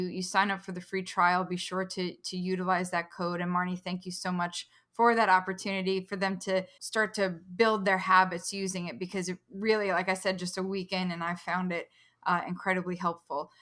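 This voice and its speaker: A woman's voice: mid-range voice, bright, forceful tone. A woman hosting a podcast in a conversational interview style, speaking in an effusive, appreciative manner. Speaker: podcast host.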